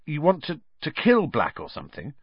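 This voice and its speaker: A man's voice: on the high side, wildly swooping pitch, moderate pace, gravelly, slightly rough, no echo, muffled recording, pitched above the performer's own voice, exaggerated voice. A man voicing a blunt, tense teenage schoolboy who speaks sharply and raises his voice. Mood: furious.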